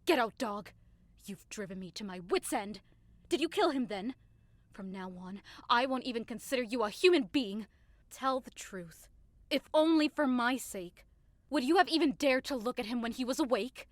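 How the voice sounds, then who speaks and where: Woman acting a passionate young lady in love, dramatic and scornful, highish voice, dry audio play recording. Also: lively intonation, moderate pace, no room sound, lightly acted. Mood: angry, scornful. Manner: emphatic, dramatic.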